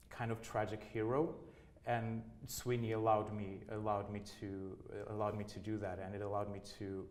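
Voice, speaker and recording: A man from Portugal speaks with a Portuguese accent and a fairly low voice. He answers interview questions in a hesitant way, often restarting and repeating words. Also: a little mellow. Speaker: opera singer, interviewee.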